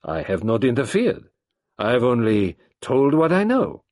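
A man voicing a grave, cynical middle-aged French doctor in a storybook reading, deliberate and world-weary. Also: medium pitch, huge pitch swings, darkish tone, no echo, studio quality, voice pushed up.

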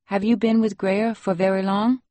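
Woman voicing a patient Salvadoran-accented nanny, lightly raised pitch. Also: flat delivery, normal speed, no echo, close to an everyday voice. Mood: chatty. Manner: soft-spoken little breaths, hesitant. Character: gentle immigrant nanny in her early forties, patient, apologetic.

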